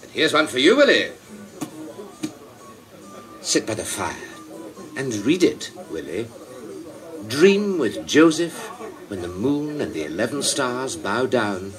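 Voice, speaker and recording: A man's voice: mid-range voice, fairly bright tone, full character voice, low-quality recording. An actor playing a genial, talkative king of England in a radio play, with a theatrical, condescending manner. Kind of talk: broadcast radio play acting.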